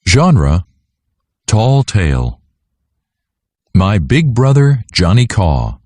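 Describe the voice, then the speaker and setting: Low-voiced man reading a lively children's story. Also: extremely slow, no echo, clean studio sound. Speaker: storybook read-aloud narrator. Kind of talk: animated scripted children's story narration.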